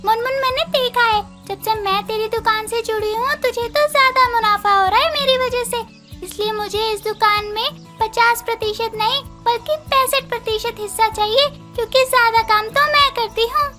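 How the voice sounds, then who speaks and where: A woman's voice: very high voice, normal speed, sharp tone, faint music behind. A woman voicing a small, assertive female bird who runs a shop in a children's cartoon, speaking emphatically. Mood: indignant, demanding.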